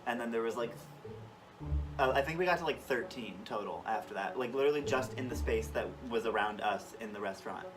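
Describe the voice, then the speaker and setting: A man's voice: medium pitch, a little fast, noisy background. A young man co-hosting a vlog, adding casual, joking asides and acting out remembered exchanges in a loose, conversational way. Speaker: vlog co-host chiming in on stories. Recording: distant from mic, music behind.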